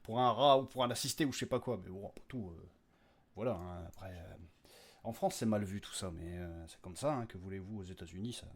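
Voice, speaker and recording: A man's voice: low voice, a little fast. A man hosting his own podcast, giving listeners a casual, off-the-cuff update in a rambling, jokey, slangy way. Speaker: podcast creator and host.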